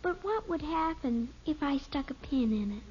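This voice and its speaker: A woman's voice: high voice, lively intonation, moderate pace. A female performer playing a cheeky little American girl, whining and plaintive in 1950s radio sitcom style. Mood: mock innocent. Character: whiny, cheeky little girl, tattling youngest daughter. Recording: muffled, hissy vintage radio recording.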